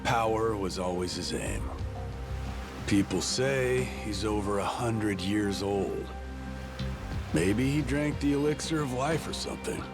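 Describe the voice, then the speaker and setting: A man's voice: on the low side, animated, measured pace, over music. A man voicing a protective, grumbling father in a video game dub, firm and informal. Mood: explaining.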